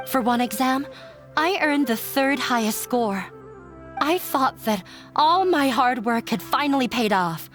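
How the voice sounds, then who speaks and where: An actress voicing a young woman who ran away from a demanding father, speaking in a quiet, resigned way. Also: sits high. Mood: wistful. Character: young woman, runaway daughter, hurt and weary. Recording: game audio with faint music.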